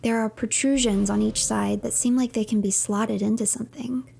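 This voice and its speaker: A woman game streamer delivers dramatic story narration and reads documents aloud. Her voice is fairly high, with plenty of creak and a breathy, husky edge. The delivery is theatrical and deliberate. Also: steady tone, mellow.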